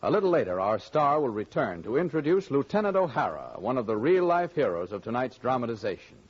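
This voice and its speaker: This is a dull vintage radio recording of a male announcer. He has a husky voice and lively intonation, delivering scripted 1940s broadcast copy. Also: mid-range voice, fairly quick.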